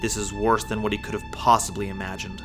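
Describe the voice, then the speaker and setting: A man's voice: on the low side, level tone, fast talker. A man narrating an audio drama from a script, in a theatrical storytelling manner. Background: prominent music.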